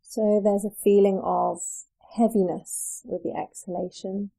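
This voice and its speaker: Mellow-voiced woman guiding a relaxation. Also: mid-range voice, steady tone, creaky, poor audio. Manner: soothing, gentle, unhurried with pauses. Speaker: yoga teacher, meditation guide. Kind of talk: guided yoga nidra relaxation.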